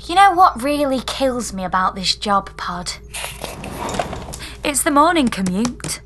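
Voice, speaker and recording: A woman's voice: fairly high pitch, big pitch swings, unhurried, background noise. A woman playing a weary, sardonic space engineer trapped in a pod, in an audio comedy drama. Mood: weary, deadpan.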